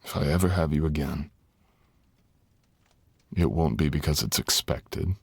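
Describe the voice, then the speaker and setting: A man acts out a brooding dragon lord in an intimate fantasy audio roleplay. His voice is extremely low and full of gravel, and he speaks slowly and deliberately. He sounds tender and seductive, with formal, storybook phrasing. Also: no echo, full character voice. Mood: gentle, restrained. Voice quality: very deep, gravelly, husky, often breathy.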